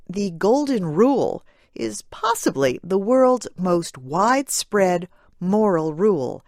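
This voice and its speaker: Woman reading a vocab lesson, slow n clearly phrased, dry close sound. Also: lowish voice, dry recording. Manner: measured, clearly phrased for learners. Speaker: narrator of English vocabulary lesson. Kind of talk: scripted educational narration.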